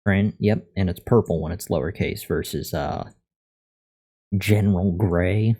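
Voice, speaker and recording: A man chatting casually on a live coding stream, thinking aloud in a monotone voice into a USB condenser microphone. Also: low-pitched, a little slow, slightly rough. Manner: thinking aloud, reading out and answering chat. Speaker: live streamer learning to code. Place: untreated room at a computer. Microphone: USB condenser microphone at a desk.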